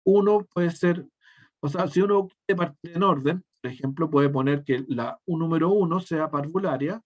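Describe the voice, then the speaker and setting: A male teacher, walking through a software demonstration step by step and often restarting his sentences mid-thought. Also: medium pitch. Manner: step-by-step explaining, frequent self-corrections and restarts. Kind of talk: screen-share software tutorial.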